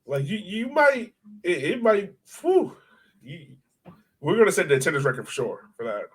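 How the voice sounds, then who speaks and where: A man's voice: high voice, sing-song, normal speed, slightly rough. A man co-hosting a sports podcast in casual, informal, enthusiastic fan chat. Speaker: podcast co-host.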